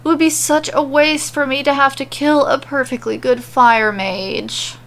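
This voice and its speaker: A woman's voice: sits high, fairly even intonation, a little slow, fairly bright tone, pitched above the performer's own voice. A woman voicing a smug, manic male villain king with a sneering, condescending way of talking in an audio story. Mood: sneering, sarcastic.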